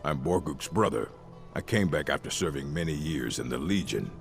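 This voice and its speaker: Proud orc fighter for a fantasy game: rock-bottom pitch, blunt delivery, game music behind. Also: male voice, animated, moderate pace, heavily acted. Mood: reflective. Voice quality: deep, gravelly. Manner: blunt, terse, formal. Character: gruff orc warrior of a stronghold, proud and dutiful. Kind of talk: video game character dialogue.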